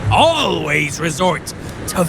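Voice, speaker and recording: An actor in a video game playing a greedy, cowardly pirate captain who wheedles and pleads theatrically. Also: male voice, a little high, very animated, takes it slowly, heavy background noise, full character voice. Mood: slyly bargaining. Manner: theatrical, stammering, falsely pleading. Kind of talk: video game character voice acting.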